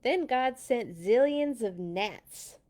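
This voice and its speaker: Slow, expressive woman reading to children. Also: on the high side. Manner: dramatic, deliberate emphasis when reading. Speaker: children's read-along host and Bible school teacher. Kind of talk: expressive storybook reading for children with casual asides.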